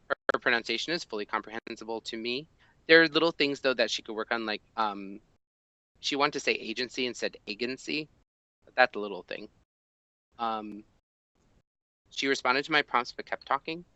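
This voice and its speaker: A man in his thirties, a language teacher, talking through an assessment form at his desk, thinking aloud with many ums and pauses. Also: medium pitch, bright, forceful tone, dry recording, low-quality recording. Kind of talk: screen-recorded walkthrough of an assessment. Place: small indoor room at a desk.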